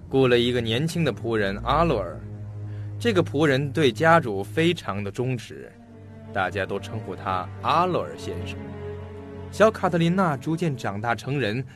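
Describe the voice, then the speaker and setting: An actor playing a shrewd, talkative, self-assured detective in an audio mystery drama, laying out a case in a theatrical storytelling manner. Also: male voice, medium pitch, lightly acted.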